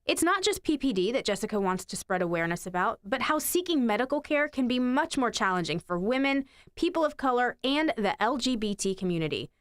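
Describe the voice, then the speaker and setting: A woman with a fairly high voice works as a news anchor. She delivers reports and studio conversation in a broadcast style. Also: sing-song, a little fast, few pauses, clear, resonant tone.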